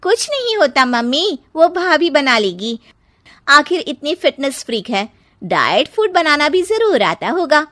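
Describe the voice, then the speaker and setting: A woman's voice: sits high, sing-song, measured pace, a bit bright, no echo, clean studio sound, pitched above the performer's own voice, full character voice. A woman voicing a pert, complaining young sister-in-law with a whiny manner in a cartoon story. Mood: pointed.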